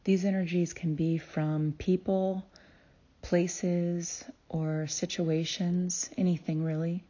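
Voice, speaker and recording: Woman, lowish pitch, soothing meditation guide, calm deliberate phrasing, long pauses, slightly muffled recording. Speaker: guided meditation host and healer.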